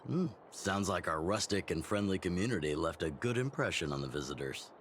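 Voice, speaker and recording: A man voicing a genial middle-aged town chief and mentor in a game, relaxed and teasing in a fatherly way. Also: sits low, a little fast, a little hiss or hum. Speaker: community chief.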